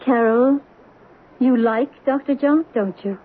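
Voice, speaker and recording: An actress playing a kind, widowed mother in an old radio drama, gentle in manner with nervous little laughs. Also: on the high side, unhurried, narrow, tinny audio. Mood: gentle, anxious. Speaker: Celia, a mother. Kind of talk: 1970s radio drama acting. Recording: old radio broadcast, phone-thin with hiss.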